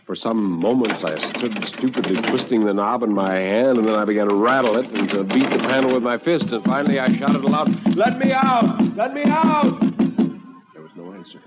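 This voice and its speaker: A man narrating a first-person story in a theatrical, measured and intense old radio drama style. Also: on the low side, heavy background noise. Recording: dull old radio recording with music.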